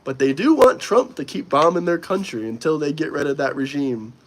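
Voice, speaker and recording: A man's voice: a little high, lively intonation, a little fast, a little hiss or hum, poor audio. A young man hosting a live stream, casually and bluntly answering viewer comments while a little under the weather. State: slightly sick. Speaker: livestream host.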